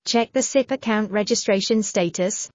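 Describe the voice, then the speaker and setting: A woman reading a scripted software tutorial in a measured, instructional manner. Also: mid-range voice, dry recording. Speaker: tutorial narrator.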